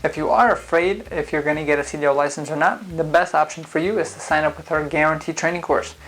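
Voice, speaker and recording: A man's voice: fairly high pitch, fairly even intonation, fast, a bit bright, rough recording. A man who speaks English as a second language, explaining a driving test step by step in a casual, conversational way with frequent fillers. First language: not English. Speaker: driving school founder and instructor.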